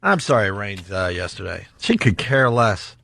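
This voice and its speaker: A man's voice: fairly low pitch, very animated, moderate pace, creaky, sharp tone, no echo, studio quality. A man hosting a radio talk show, chatting casually and fielding callers and topics.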